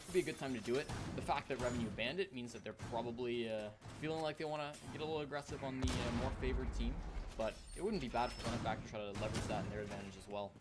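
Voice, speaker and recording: A Canadian man casts a live esports match at a brisk clip. His delivery is conversational and analytical, with a mid-range voice. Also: mid-range voice, over loud music. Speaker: esports play-by-play caster.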